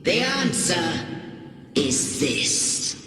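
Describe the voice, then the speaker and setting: A woman's voice: on the low side, sing-song, extremely slow, a bit bright, far from the mic, slight background noise, full character voice. A woman voicing a cold, grandiose godlike villain in a video game, declaiming archaic speech with commanding weight through an otherworldly reverberant effect. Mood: grandiose, triumphant. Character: imperious godlike dark entity, grandiose, cold, archaic creator. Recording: vast echoing reverb, music underneath.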